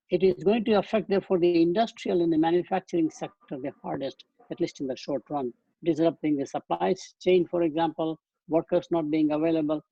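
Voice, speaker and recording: An old man with an indian accent, fairly high for a man, soft mellow tone with a bit of husk, talking through slides in long wandering sentences that restart a lot, dull flat sound with no room echo. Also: breathy edge. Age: 80s. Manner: loose rambling phrasing with restarts. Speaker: guest speaker. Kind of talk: webinar lecture with slides. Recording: dull dry webcast audio.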